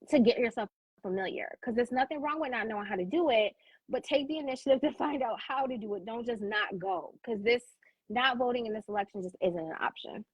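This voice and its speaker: An American woman with a somewhat husky voice talks like a co-host in casual conversation. She is emphatic, informal and encouraging as she explains. The sound is close and dry, a little lo-fi. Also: on the high side, no room sound. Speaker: talk show co-host.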